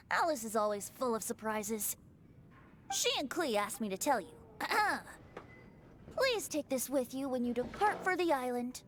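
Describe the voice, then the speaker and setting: A woman's voice: sits high, sing-song, unhurried, a little hiss or hum, heavily acted. A woman voicing a teasing, youthful male bard in an anime video game, theatrical and coy. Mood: breezy, coaxing. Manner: theatrical, coy, sing-song. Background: music.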